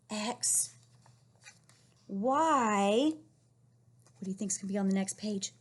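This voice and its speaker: A woman reading a picture book aloud to young children, encouraging and questioning them in an animated way with a husky, breathy voice. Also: mid-range voice. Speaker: read-aloud storyteller teaching young children.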